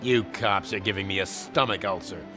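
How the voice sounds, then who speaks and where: A man's voice: deep voice, normal speed, over music, close to an everyday voice. An actor playing a smug, sleazy male business owner in a video game, talking in a sarcastic, taunting way.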